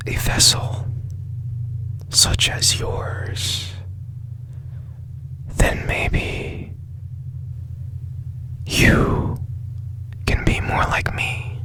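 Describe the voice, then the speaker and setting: A strange man of secrets who mends broken machines, acted by a man, whispering close to the mic in an ASMR roleplay. He speaks extremely slowly, with hushed, breathy phrases that linger and halt. His manner is tender and intensely curious.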